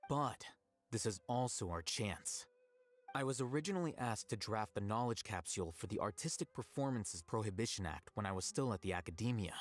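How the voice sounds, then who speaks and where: Man playing a low-voiced, composed scholar-strategist. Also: animated, soft background music, lightly acted. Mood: cool, confident. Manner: measured, coolly explanatory. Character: composed, calculating young male scholar and strategist. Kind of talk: video game voice acting.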